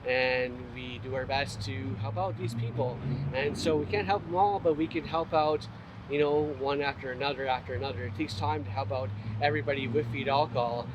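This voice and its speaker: A man's voice: mid-range voice, dull audio. A man speaking as a motivational speaker and host, in an earnest, plain way with many fillers and restarts. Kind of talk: casual heartfelt talk to camera. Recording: outdoor recording with street noise.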